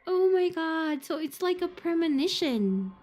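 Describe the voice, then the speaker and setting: Giggly woman streamer reacting loudly. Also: very high voice, sing-song, unhurried. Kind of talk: live game stream commentary and reading aloud.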